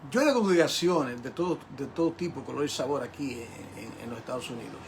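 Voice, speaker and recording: A man's voice: medium pitch, expressive, fast talker, a little hiss or hum. An older man teaching a Bible class as a pastor, in an emphatic, repetitive way with rhetorical questions to his listeners. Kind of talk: sermon-like Bible lesson.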